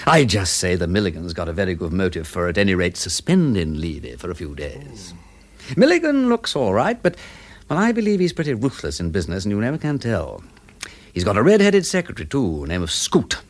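An actor playing an affable, rambling aristocratic English gentleman detective who feigns silliness, chatty and self-deprecating in a period radio drama. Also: low voice, huge pitch swings, a little fast, heavily acted. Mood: brisk, speculating. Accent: upper-class English.

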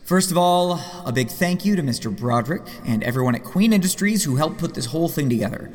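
A man's voice: on the high side, lively intonation, fast, close to an everyday voice. An actor playing a rich, sardonic yet earnest man in his late thirties in an audio drama. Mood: awkward. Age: 30s. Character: rich, sardonic, earnest middle-aged male vigilante heir. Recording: long hall reverb, faint music behind.

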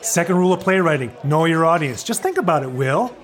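Glib theatre adviser: high, swooping voice, flippant salesman's patter, condescending, comic audio play. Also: big pitch swings, fast talker. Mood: cajoling. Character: pushy, glib male theatre pro giving advice. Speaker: actor. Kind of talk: comic podcast play dialogue.

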